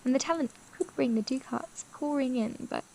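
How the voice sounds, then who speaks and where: An actress playing a wistful, overlooked young sister in a period audio drama, with polite, formal and expressive speech. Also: female voice, high voice, moderate pace, gravelly, slight background noise, rough recording. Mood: resigned, bitter. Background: music.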